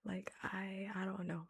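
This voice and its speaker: A woman's voice: mid-range voice, gravelly, mellow, breathy edge, dry recording. A young woman whispering through an ASMR-style book reading, chatting casually about the story with frequent "like" in between. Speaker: book reader.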